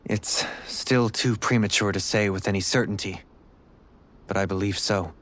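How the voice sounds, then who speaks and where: An actor playing a calm, thoughtful noble lord who speaks in a measured, formal way. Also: male voice, on the low side, lightly acted. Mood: earnest. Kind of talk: video game voice acting. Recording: game audio over music.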